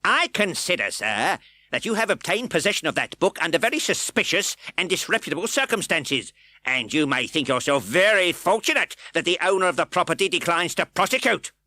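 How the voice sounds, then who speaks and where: A man doing a bullying old-time magistrate, pitched high and loud, barking orders in a bright, hard tone and sneering at everyone in the court. It sounds close and dry, with no room around it. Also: very animated, fairly quick, dry recording, full character voice. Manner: barking, insulting, shouting orders.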